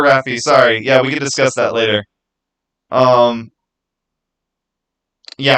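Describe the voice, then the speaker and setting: A man chatting casually to viewers as a livestream host, earnest and rambling, with halting starts and repeated phrases. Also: medium pitch, fairly bright tone, poor audio. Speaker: streamer, channel host.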